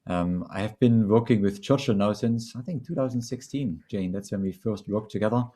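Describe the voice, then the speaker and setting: A middle-aged man with a German accent, speaking as a webinar guest in a measured, reflective, conversational way. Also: fairly low pitch, warm tone. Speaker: leadership coach and trainer, webinar guest. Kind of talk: conversational self-introduction in a webinar.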